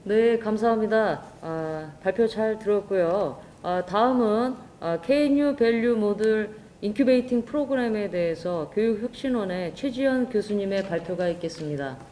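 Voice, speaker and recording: Lady mc at a formal academic event, mellow relaxed voice, announcing speakers. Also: medium pitch, moderate pace, few pauses, rough recording. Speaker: forum moderator.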